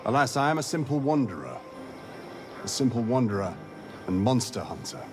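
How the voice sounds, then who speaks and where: An actor playing a courteous, wry wanderer who hunts monsters in a video game, speaking like a measured storyteller. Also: male voice, medium pitch, very animated, over music. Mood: wry, mocking. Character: wry, weary wandering male monster hunter, courteous trickster.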